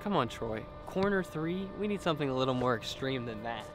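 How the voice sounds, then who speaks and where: A young man with a slightly hoarse voice hosting a playful sports vlog on an outdoor basketball court, joking and teasing his friends. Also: mid-range voice, fairly quick. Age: young adult. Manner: jokey, teasing, excitable. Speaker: vlog host. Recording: music playing under the voice.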